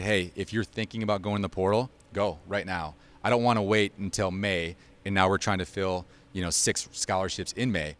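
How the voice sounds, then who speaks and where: A man's voice: sits low, fairly bright tone. A man speaking as a college coach in a sit-down sports interview, explaining things in a plain, informal way. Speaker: college basketball head coach.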